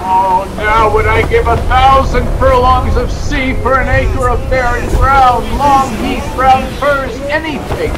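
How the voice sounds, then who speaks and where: A man's voice: extremely high pitch, steady tone, a little slow, hardly any pauses, music in the background. A man acting a sardonic nobleman aboard a ship in a Shakespearean radio drama. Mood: despairing, wistful. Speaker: actor in a Shakespeare audio play. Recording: loud roaring sea and wind noise. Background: storm waves and wind.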